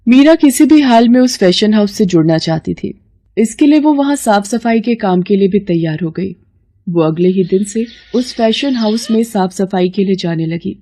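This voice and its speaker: A woman tells a scripted story as narrator. Her voice is mellow and relaxed, sitting at a middle pitch. The delivery is that of a storyteller reading prepared narration.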